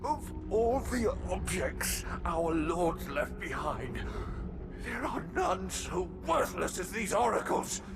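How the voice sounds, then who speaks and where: Casting: haughty elderly alien preacher for a game, shrill register, slow grand declaiming. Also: male voice, big pitch swings, heavily acted. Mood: indignant. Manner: pompous, declaiming sermon. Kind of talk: video game cutscene acting. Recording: reverberant hall with music behind.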